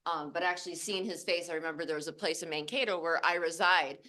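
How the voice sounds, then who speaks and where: A woman presenting to a live audience in a loud, chatty, laughing way, speaking into a handheld microphone. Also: lowish voice, fairly even intonation, fast. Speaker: cannabis breeder and presenter. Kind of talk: casual talk to a live audience. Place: event venue with live audience.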